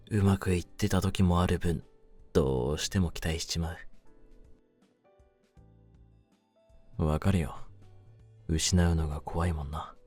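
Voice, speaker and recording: A man playing a world-weary, lonely young mafioso in an intimate roleplay audio, speaking in a low voice with rough streetwise phrasing. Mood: wistful, empathetic. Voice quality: low-pitched voice. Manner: rough, streetwise masculine speech, brooding and soft-spoken. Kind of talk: intimate Japanese situation-voice roleplay, ASMR-like.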